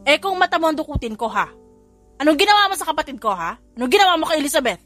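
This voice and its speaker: A loyal, protective male secretary from a romance audiobook, acted out by a woman. He is quick to flare up, with faint music behind. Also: high-pitched, sing-song, fairly bright tone, pitched above the performer's own voice. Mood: furious. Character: loyal, protective adult male secretary, hot-tempered when provoked.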